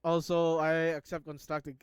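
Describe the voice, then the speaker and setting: Man, Filipino accent, fairly high voice, dry close sound, casual commentary, halting restarts. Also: level tone, clean tone, no room sound. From Philippines. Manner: halting, restarts words often. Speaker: YouTuber analyzing a show.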